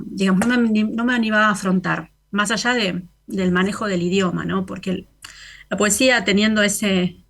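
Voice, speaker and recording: An Argentine woman poet and novelist, talking as a radio interview guest in a reflective, halting way, often restarting and laughing softly. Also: medium pitch. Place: remote, from Italy.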